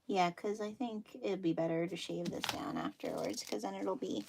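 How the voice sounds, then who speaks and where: A woman with a Dutchy accent chats her way through a sewing demo in a relaxed, self-deprecating way. Her voice has a gravelly edge. A faint hum sits under the recording. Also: lowish voice, normal speed. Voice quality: chatty, self-deprecating, talks while working. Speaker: sewing livestream host. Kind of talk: casual sewing demo chat.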